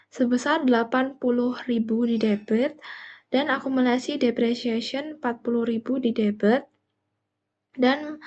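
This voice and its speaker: Young woman, student presenting step by step, highish voice, dull lo-fi audio. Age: young adult. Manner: explanatory, step by step. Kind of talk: classroom-style accounting presentation.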